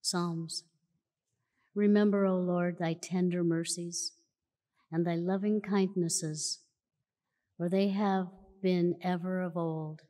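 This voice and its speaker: A woman reading scripture aloud at a church lectern in a measured, solemn way, recorded in a large church auditorium. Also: on the low side, fairly even intonation, dark tone. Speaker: church reader. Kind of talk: slow formal scripture reading. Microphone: lectern mic from a far camera. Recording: long church hall reverb.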